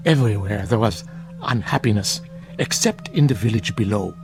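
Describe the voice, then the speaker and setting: An actor playing a grave, fervent older monk in a radio drama, speaking in formal, measured, preachy phrases. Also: male voice, on the low side, expressive, gravelly, music in the background. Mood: solemn storytelling. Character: solemn bearded older monk, fervent guardian of truth. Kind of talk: classic radio drama acting.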